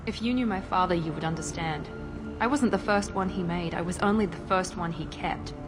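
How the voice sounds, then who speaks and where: An actress playing a cool, guarded female operative in a video game, speaking in a crisp, controlled way. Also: lowish voice, brisk, lightly acted. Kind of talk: video game cutscene dialogue. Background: game score music.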